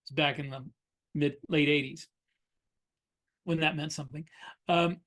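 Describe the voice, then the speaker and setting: Higher-pitched middle-aged man chatting. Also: fairly even intonation, measured pace, a bit bright. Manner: anecdotal, explanatory. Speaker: podcast co-host and science fiction author. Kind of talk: casual conversational discussion.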